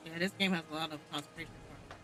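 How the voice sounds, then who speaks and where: Male gamer, casual play commentary, high pitch, rapid pace, game music behind. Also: steady tone.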